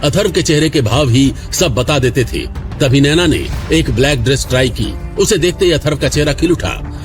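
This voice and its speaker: A man's voice: on the high side, expressive, moderate pace, music in the background. A man narrating a scripted audio drama in a dramatic storytelling style.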